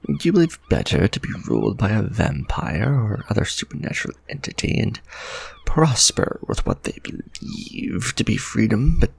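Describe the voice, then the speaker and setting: A man's voice: fairly low pitch, sing-song, normal speed, exaggerated voice. A man voicing a refined, possessive male vampire lord in an ASMR romantic roleplay, formal and musing as he tenderly addresses the listener. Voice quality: gravelly, husky, soft-spoken.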